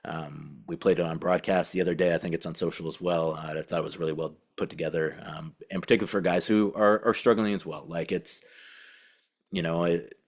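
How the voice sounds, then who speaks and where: A man hosting a team podcast, speaking casually and haltingly as he thinks aloud in a tribute to a colleague. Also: deep voice, fairly even intonation. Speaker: podcast host and team beat reporter.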